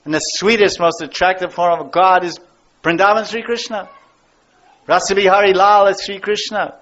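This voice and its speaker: A somewhat high-voiced American man teaching a devotional class, emphatic and repetitive to drive each point home. The recording is dull and lo-fi, with the top end muffled. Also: steady tone, moderate pace, fairly bright tone. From United States. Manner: emphatic, repeats key words. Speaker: spiritual teacher. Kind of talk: devotional lecture.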